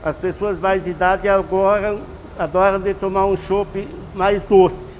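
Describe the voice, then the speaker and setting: Man, sixties, high pitched, folksy and instructive, reverberant space. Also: level tone, unhurried, slight background noise. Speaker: master brewer. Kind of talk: explanatory interview answers.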